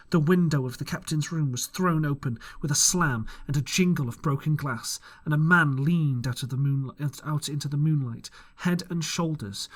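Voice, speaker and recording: A man reading a classic adventure novel aloud as an audiobook, narrating expressively in first person. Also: a little high. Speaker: audiobook reader. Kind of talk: storybook narration.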